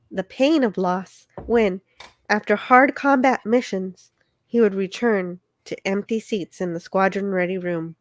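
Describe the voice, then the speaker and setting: A woman reads scripted narration from a novel. Her voice sits a little high, and the sound is dry, with no room around it. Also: no echo. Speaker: co-host and narrator.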